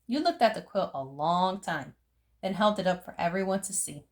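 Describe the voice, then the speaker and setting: Animated woman reading a picture book. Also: on the low side, normal speed. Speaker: read-aloud storyteller. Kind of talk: expressive picture book narration.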